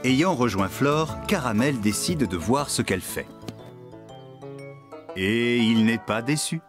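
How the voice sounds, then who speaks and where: A calm storybook narrator for a children's cartoon. The delivery is scripted and measured, in a mid-range voice over music. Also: male voice, medium pitch. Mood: storytelling.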